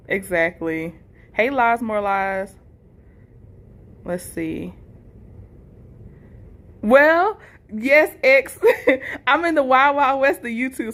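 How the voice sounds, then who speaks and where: A woman hosting a casual livestream, reading viewer comments and responding in an informal, appreciative way. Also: on the high side. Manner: informal, appreciative, chuckles between remarks. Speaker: livestream host, content creator.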